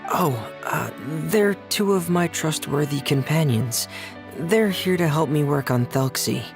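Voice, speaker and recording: A man playing a shy, reserved, polite young diver in a video game, hesitant and soft-spoken, with music behind him. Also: fairly high pitch, animated, measured pace, gravelly. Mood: apologetic, dutiful.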